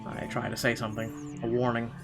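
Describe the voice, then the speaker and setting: Man, dramatic game read-aloud, mid-pitched voice, faint music behind. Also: monotone, a little fast, gravelly. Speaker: gaming channel host reading a visual novel aloud.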